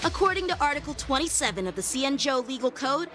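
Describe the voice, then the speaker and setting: A woman's voice: sits high, big pitch swings, quick pace, a bit bright. An actress playing a stern, precise female port official in a video game, speaking with formal authority. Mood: officious. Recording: game music behind.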